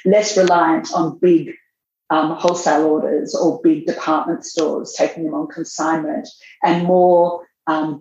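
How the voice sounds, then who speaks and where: A woman, a magazine editor answering interview questions in a measured way, thinking aloud as she goes. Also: low voice, warm tone. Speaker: fashion magazine editor, guest. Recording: roomy, dull lo-fi sound.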